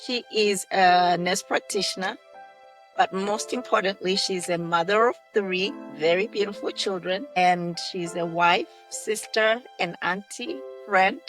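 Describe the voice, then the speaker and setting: A woman's voice: medium pitch, fairly bright tone, low-quality recording. A woman hosting a podcast, enthusiastic as she welcomes her guest and leads a prayer. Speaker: podcast host.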